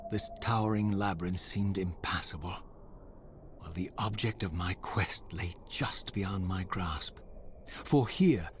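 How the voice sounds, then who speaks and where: An actor playing a tormented vampire wraith in a video game, narrating solemnly with grave, formal, theatrical diction. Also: male voice, on the low side, slight background noise. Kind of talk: dramatic scripted game narration.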